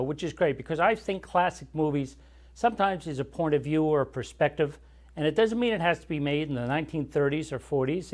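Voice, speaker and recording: A man hosting a classic movie show, chatting with and interviewing young guests in an explanatory, friendly way. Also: fairly high pitch. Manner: friendly, explanatory, asks follow-up questions. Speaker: host.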